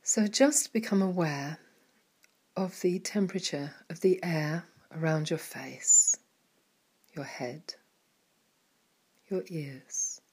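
Slow, soft-spoken woman guiding meditation. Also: deep voice, husky, poor audio. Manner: soft and calm, some words trailing into a whisper. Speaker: meditation guide. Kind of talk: guided meditation.

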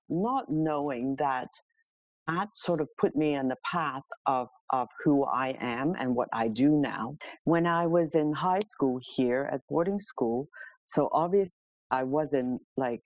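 A woman's voice: rumbling low, no echo, poor audio. Middle-aged woman from Hong Kong, dry close sound. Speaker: podcast guest, milliner. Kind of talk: casual autobiographical interview talk.

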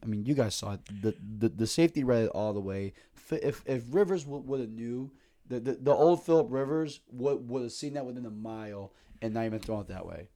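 A man's voice: mid-range voice, fairly quick, warm tone. A man co-hosting a sports podcast in casual chat, rambling and joking with frequent restarts. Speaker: podcast co-host.

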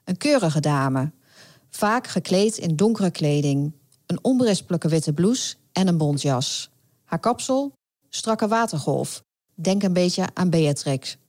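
A woman narrates a scripted true crime story in a dry, monotone delivery. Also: fairly low pitch, a little slow. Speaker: podcast narrator.

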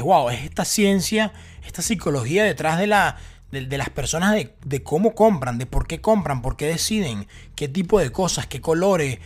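A young man in his twenties with a Venezuelan accent, telling his personal story to the camera in a casual, talkative way with many fillers and restarts. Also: a little high. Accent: Venezuelan Spanish. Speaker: vlogger.

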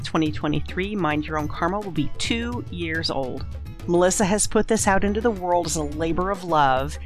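A woman with a slightly low voice reads a prepared message in an earnest, persuasive way, laying out her points with care. Faint music plays under her voice. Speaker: podcast editor and producer. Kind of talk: scripted appeal for listener support.

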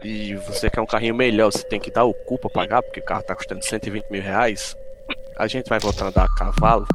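Blunt, sarcastic Brazilian man, lowish voice. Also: level tone, fast talker, loud music behind the voice. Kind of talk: casual chat.

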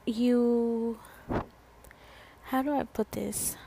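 Slow-spoken young woman, rambling. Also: a little high, steady tone, creaky, mellow, a little hiss or hum, low-quality recording. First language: Spanish. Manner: rambling, many fillers and restarts. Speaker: student podcast host. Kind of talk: casual solo podcast talk.

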